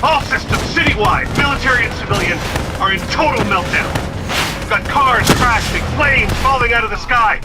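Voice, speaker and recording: A man playing a frantic security commander in a video game, shouting in alarm. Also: extremely high pitch, normal speed. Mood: alarmed, urgent. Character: frantic male security commander amid citywide disaster. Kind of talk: cinematic video game acting. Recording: loud music and battle noise behind.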